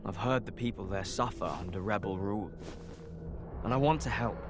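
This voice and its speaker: An actor playing an earnest, grateful young aspiring warrior in a video game, friendly and sincere. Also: male voice, on the low side, normal speed, over music, natural voice. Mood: resolved, warm. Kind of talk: video game cutscene acting.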